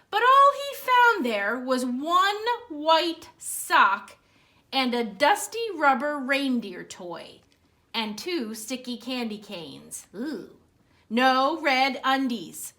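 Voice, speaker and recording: Animated, high-pitched woman reading a storybook. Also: takes it slowly. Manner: expressive, dramatic emphasis, addresses young listeners with questions. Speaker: librarian reading at children's storytime. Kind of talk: animated read-aloud of a children's picture book.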